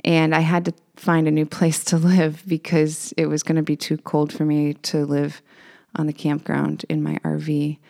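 A singer-songwriter woman, a little raspy today, chatting casually between songs and telling rambling stories with fillers. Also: sits low, completely flat delivery, normal speed. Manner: rambling, warm storytelling with fillers.

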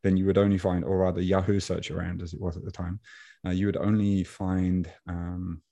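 A man with a deep, warm voice speaks as a podcast guest over a video call. He tells stories in an animated way and laughs now and then. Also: brisk, highs cut off. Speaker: podcast guest, online fitness coach. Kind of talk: casual storytelling interview. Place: Japan.

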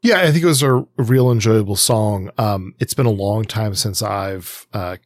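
Low-voiced, hesitant man, closely recorded. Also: moderate pace, no room sound, studio quality. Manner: hesitant, rambling, reflective. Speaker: podcast host. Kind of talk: casual review discussion.

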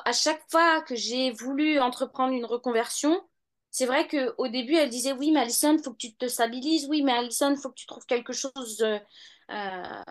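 A woman in her thirties answers interview questions about her studies in a high voice. She talks casually and hesitantly, restarting sentences and filling pauses. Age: 30s. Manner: hesitant, many fillers and restarts. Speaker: law student interviewee.

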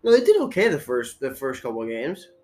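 A man's voice: medium pitch, wildly swooping pitch, a little fast, a little mellow. Young man with a New England accent. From Connecticut. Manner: chatty sports talk, laughs easily. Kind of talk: casual chat.

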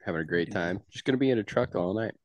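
A man's voice: on the low side, fast talker. A man co-hosting a sports podcast, chatting casually in a slangy, joking way. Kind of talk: casual chat.

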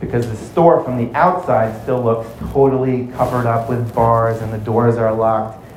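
A man's voice: mid-range voice, fairly even intonation, darkish tone, natural voice. Man playing a sneaky thief muttering his plan. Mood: muttering, scheming. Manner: muttering to himself, deliberate. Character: scheming male thief, confident and sneaky. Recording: roomy echo, light background noise.

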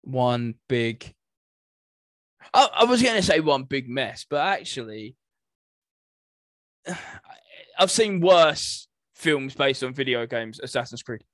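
A man's voice: medium pitch, very animated, slow pace, long pauses, a bit bright, no room sound. A man in casual podcast conversation as co-host, thinking aloud with some hesitation. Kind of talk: casual chat about video game movies.

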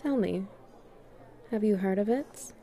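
A woman acts out a self-assured female boss in an intimate audio roleplay. Her voice is dark and mellow, and she speaks with measured control, teasing and flirting while keeping a strict, dominant edge. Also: mid-range voice, close to an everyday voice. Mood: firm, commanding. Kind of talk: flirty one-sided roleplay dialogue.

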